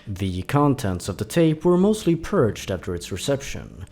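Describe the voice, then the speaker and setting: Man, horror countdown narrator, lively intonation, deliberate dramatic pauses, faint music underneath. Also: medium pitch. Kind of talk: scripted horror storytelling narration.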